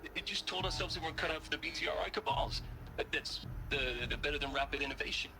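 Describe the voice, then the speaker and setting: Aktor jako jąkający się, skruszony inżynier, dość wysoki głos. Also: male voice, fairly high pitch, fast talker, lightly acted. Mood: nervous regret. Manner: hesitant, stammering, repeating words. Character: guilt-ridden, earnest male engineer seeking atonement. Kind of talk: video game voice acting. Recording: clear background noise, vehicle-like hum.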